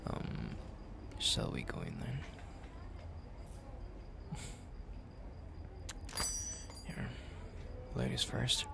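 A man acts out a jealous young male best friend in an intimate ASMR roleplay. His voice is very low and swings widely in pitch, with halting, extremely slow phrases broken by stammers and sighs. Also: vocal fry, a bit bright, a little hiss or hum, heavily acted. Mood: shy, friendly. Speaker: roleplay audio performer.

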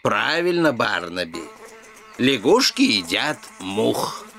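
A man's voice: medium pitch, very animated, slow, fairly bright tone, full character voice. A man voicing a patient, jolly old elf teacher in a cartoon dub, with an emphatic, instructive way of talking. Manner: didactic, chuckling, emphatic.

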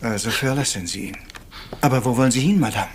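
An actor playing a vain, fussy middle-aged detective in a radio crime drama, formal and theatrical. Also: male voice, medium pitch, quick pace, noisy background, close to an everyday voice. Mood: solemn, courteous. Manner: formal and theatrical, sprinkled with French courtesies.

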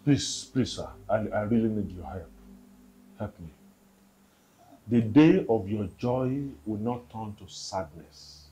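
A man's voice: lowish voice, slow pace, warm tone, rough recording. A man with a Nigerian accent playing a distraught middle-aged father. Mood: pleading.

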